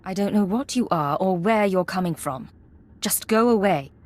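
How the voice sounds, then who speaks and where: A woman playing a composed undercover Imperial agent in a video game, measured and businesslike. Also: mid-range voice, expressive, darkish tone, lightly acted. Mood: firm.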